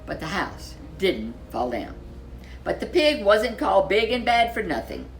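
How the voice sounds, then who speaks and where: A woman narrates a children's picture book with a very lively, swooping pitch. She takes her time, placing dramatic emphasis on key words, over faint music. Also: unhurried. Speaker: storyteller. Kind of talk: expressive children's storybook narration.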